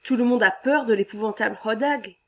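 A woman's voice: on the high side, a little fast, a little mellow. A woman voicing an anxious, earnest male lumberjack foreman in a read-aloud storybook, with a raised, eager voice. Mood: worried.

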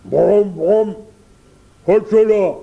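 A man's voice: high voice, very slow, gravelly, mellow, dull audio, pitched above the performer's own voice, exaggerated voice. A man voicing a big, greedy, gullible bear in a children's storybook, growling and exclaiming. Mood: gruff challenge.

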